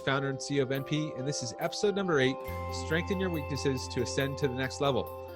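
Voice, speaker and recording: A male podcast host with a mid-pitched voice and an upbeat, promotional delivery. He reads a polished intro before moving into friendly interview chat. Music plays behind him.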